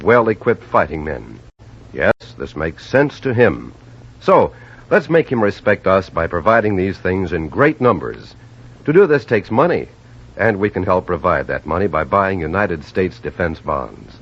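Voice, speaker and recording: A man's voice: low voice, slightly rough. A man reading a solemn, emphatic public service announcement on 1950s radio. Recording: dull old radio broadcast.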